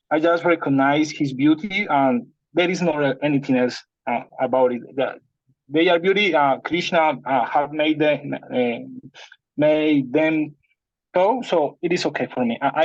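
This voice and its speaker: A man with a Spanish accent, whose first language is Spanish, talks very slowly and haltingly, with many restarts as he searches for English words. His voice sits a little high and comes through a dull, muffled, dry call connection. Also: no echo. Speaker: participant sharing a personal problem.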